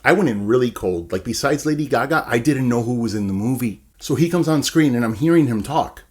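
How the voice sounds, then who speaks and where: A man reviewing a movie to camera, chatty and animated, with a sarcastic streak. His pitch sits right in the middle. He talks like he's ranting to a friend. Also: a little fast, husky. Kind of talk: casual ranting film review.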